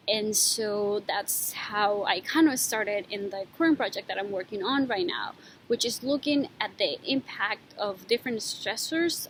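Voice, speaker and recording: A young woman from Ecuador talks through her research at a mid-range pitch. She is thoughtful and unhurried, stopping often with small fillers. A faint low rumble sits behind her voice. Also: poor audio. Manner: thoughtful, with frequent pauses and fillers. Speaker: PhD student. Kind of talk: informal talk about her research.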